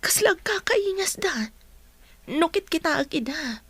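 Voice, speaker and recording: A woman playing a concerned friend confiding in another in a radio drama, with a breathy, husky voice. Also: extremely high pitch, very animated, unhurried, fairly bright tone, no echo, heavily acted. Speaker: voice actor.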